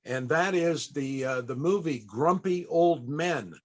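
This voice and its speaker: Guy hosting a panel, slightly high voice, chatty storyteller, pauses to find his words. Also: expressive. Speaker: panel host and interviewer. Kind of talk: conversational storytelling to an audience.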